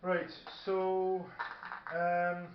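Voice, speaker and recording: A man's voice: high voice, completely flat delivery, drawn-out words. A man thinking aloud hesitantly as he chats casually while playing a tabletop game, recorded in a room. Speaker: tabletop war game player. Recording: muffled, echoey room recording with faint noise.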